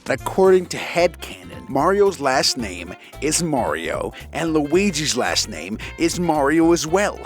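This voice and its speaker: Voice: medium pitch, very animated, unhurried, hardly any pauses, soft background music. A video reviewer giving casual comedic commentary in a slangy way with exaggerated exasperation. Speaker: YouTube movie reviewer.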